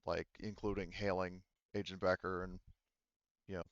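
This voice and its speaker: A man working as game master, casually narrating a sci-fi tabletop roleplaying game in a halting, think-aloud way. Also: sits low, flat delivery, clean tone, no room sound, muffled recording.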